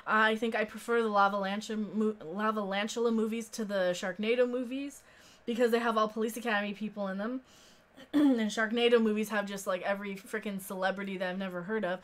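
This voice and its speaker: A woman's voice: medium pitch, fast, fairly bright tone. An American woman in her thirties hosting a live stream, talking in a rambling, informal, sweary way as she answers viewer comments. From central United States. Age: 30s.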